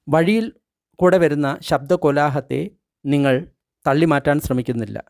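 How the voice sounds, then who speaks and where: Male meditation guide, somewhat high-pitched, unhurried and deliberate phrasing, fairly dry close sound. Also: a little mellow, no room sound. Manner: slow, deliberate instruction in short phrases with pauses. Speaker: meditation guide reading a translated book.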